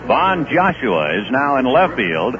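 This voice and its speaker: Lively baseball announcer on old radio. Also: male voice, medium pitch, unhurried. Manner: relaxed, conversational play-by-play with asides. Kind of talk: live baseball radio commentary. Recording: dull old radio broadcast with noise.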